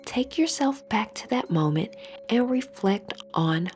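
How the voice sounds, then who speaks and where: Slow-speaking woman guiding meditation over faint music. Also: fairly high pitch, expressive. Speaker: guided meditation instructor. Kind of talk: scripted guided meditation. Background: soft background music.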